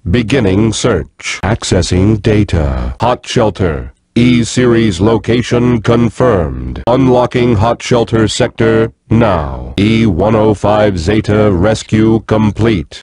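A man playing a stern, emotionless combat robot in a video game, with stiff, measured machine-like delivery. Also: on the low side, a little mellow. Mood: cold, mechanical. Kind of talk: video game character voice lines.

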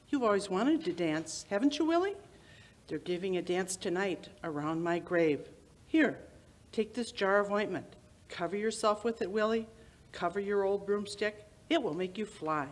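A woman's voice: mid-range voice, animated, normal speed, natural voice. An older woman playing a sinister witch who coaxes and tempts theatrically in a radio drama. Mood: coaxing, eerie. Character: beckoning, eerie witch risen from the grave, tempting. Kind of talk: old-time radio drama acting. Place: small indoor stage, live performance. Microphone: vintage-style stand microphone.

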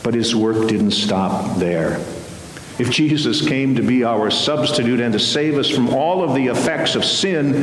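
An American man, middle-aged or older, preaching a sermon as a pastor with measured, emphatic phrasing and pauses. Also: mid-range voice. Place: large church sanctuary, at the pulpit. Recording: very echoey church hall, faint hiss.

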